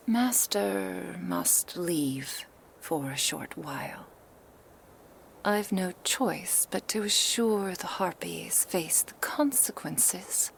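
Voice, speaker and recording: Woman as a slow, whispering, possessive fox witch. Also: fairly low pitch, a little hiss or hum, heavily acted. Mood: solemn, devoted. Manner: breathy, tender, formal archaic phrasing. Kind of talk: whispered ASMR roleplay.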